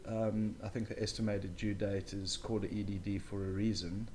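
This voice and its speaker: A man's voice: on the low side, little pitch movement, moderate pace, darkish tone, slight background noise. A young man speaking as an interview guest, earnest and emphatic, giving his opinions in a wordy, conversational way. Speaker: interview guest, new father.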